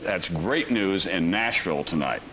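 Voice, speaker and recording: A man with a low voice anchors live election-night coverage on television. Faint noise runs behind his announcements. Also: lively intonation, unhurried, fairly bright tone, poor audio. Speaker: TV news anchor.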